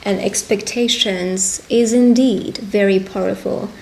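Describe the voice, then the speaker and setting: A woman speaking conversationally and encouragingly as a witch giving a personal love reading, pausing to interpret as she goes. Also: medium pitch, steady tone, a little mellow, a little hiss or hum, poor audio. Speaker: tarot reader and roleplay video host. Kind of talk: intimate roleplay fortune-telling addressed to the listener.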